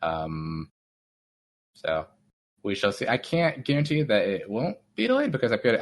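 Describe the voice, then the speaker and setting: Rambling low-voiced game developer man. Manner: rambling, many fillers and restarts. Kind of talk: casual interview talk.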